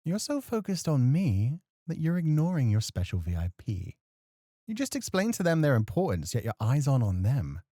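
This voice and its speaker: A man acting as a refined, scholarly club host in a flirty ASMR roleplay, speaking formally with playful, gentle chiding. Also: mid-range voice, wildly swooping pitch, normal speed, no echo, studio quality. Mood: gently chiding.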